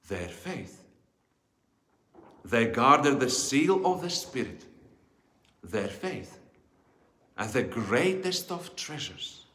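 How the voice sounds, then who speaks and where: A man in his forties preaching a sermon as a pastor, solemn and deliberate, phrase by phrase, in a church. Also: mid-range voice, fairly bright tone. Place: indoors in a church, before the altar. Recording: echoey church hall with long reverb.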